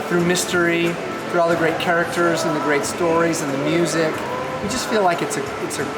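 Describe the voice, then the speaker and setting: Adult giving an interview testimonial, medium pitch voice, loud music behind. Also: low-quality recording.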